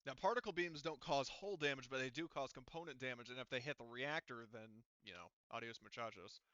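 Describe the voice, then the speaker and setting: A man acting as game master in a casual online roleplaying session, thinking aloud haltingly with some mild swearing. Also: mid-range voice, a bit bright, no room sound, lo-fi sound. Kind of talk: casual, improvised tabletop game running.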